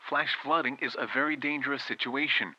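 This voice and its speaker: A man's voice: medium pitch, brisk, sharp tone, dull audio. A man reading a scripted emergency weather warning as an announcer, in a formal, clipped manner. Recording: hissy, noisy broadcast audio.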